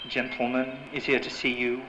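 An actor playing a stiff, deferential butler with clipped formality in an old-time radio drama. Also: male voice, medium pitch, completely flat delivery, normal speed, close to an everyday voice. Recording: dull lo-fi live stage recording, clear noise.

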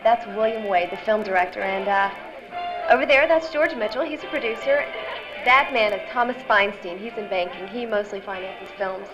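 A woman's voice: medium pitch, moderate pace, natural voice. An American woman playing a polite, prim young aspiring actress who loves gossip, in a period radio drama. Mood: gossipy. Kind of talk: 1940s-style radio drama acting. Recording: dull lo-fi sound, loud music behind.

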